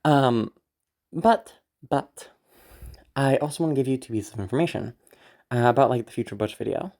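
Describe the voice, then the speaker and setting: Stuffed up creator with a mid-pitched voice, rambling to viewers, nervous and giggly, says sorry and swears a bit. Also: lively intonation, normal speed, a little mellow. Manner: anxious, apologetic, swearing, giggly. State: congested from being sick. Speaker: audio roleplay creator. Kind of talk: casual rambling talk to viewers.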